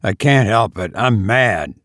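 A man reading aloud and voicing a gruff, resentful middle-aged father who speaks roughly. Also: lowish voice, expressive, unhurried, a bit bright, no room sound, clean studio sound. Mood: angry.

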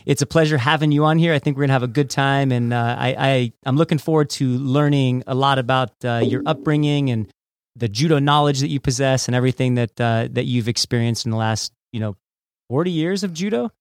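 A man's voice: medium pitch, fairly quick, clean tone, no echo, clean studio sound. A middle-aged man hosting a podcast, moving between a scripted introduction and casual interview talk. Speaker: podcast host.

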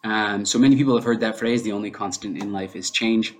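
A man's voice: fairly low pitch, fairly even intonation, fairly quick, slight background noise. A man hosting a solo podcast, talking it through unscripted, with lots of filler sounds and restarts. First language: English. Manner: unscripted, heavy use of fillers like um; thinking aloud, restarts mid-sentence. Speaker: podcast host.

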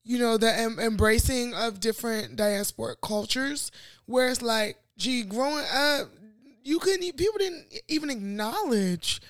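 Woman, young adult, podcast co-host, casual chat, thoughtful, fairly high voice. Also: expressive, normal speed. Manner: thoughtful, reflective, with many fillers.

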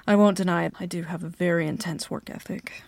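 A woman playing a bossy female CEO who interviews an applicant in a flirty ASMR roleplay, talking softly with many hesitant fillers and a teasing edge. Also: fairly low pitch, steady tone, fast talker, gravelly, no echo.

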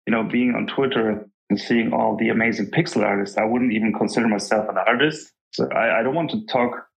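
A man's voice: on the low side, a little fast, creaky, highs cut off. A young man from Germany, a law student and pixel artist, answering podcast interview questions in a hesitant, humble way with many restarts. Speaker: guest artist.

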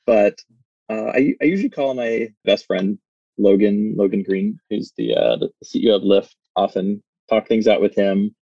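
A man's voice: fairly low pitch, lively intonation, normal speed, creaky, dark tone, no echo, lo-fi sound. A man in his thirties, a startup founder, talking in a rambling, casual way with lots of "like" fillers, recorded in a small phone booth. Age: 30s. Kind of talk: casual interview chat.

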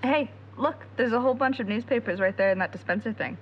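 A woman acting in an audio drama as a driven, bossy young amateur investigator, brisk and determined. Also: fairly high pitch, natural voice. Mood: eager, bossy. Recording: slightly dull audio with faint ambient noise.

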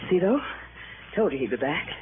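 A woman's voice: low voice, sing-song, normal speed, phone-quality sound. Nervous gangster's girlfriend on noisy old radio. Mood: anxious, urgent. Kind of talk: 1960s radio drama acting.

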